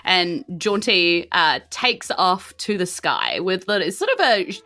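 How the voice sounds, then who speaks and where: A woman's voice: lowish voice, lively intonation, slow, bright, forceful tone, soft background music. A woman game master improvising narration for a tabletop game in an informal, giggly manner.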